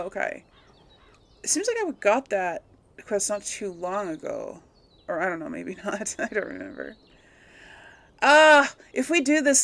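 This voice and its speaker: A woman's voice: medium pitch, a bit bright. A woman giving casual gameplay commentary, rambling and thinking aloud as she goes. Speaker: let's play host.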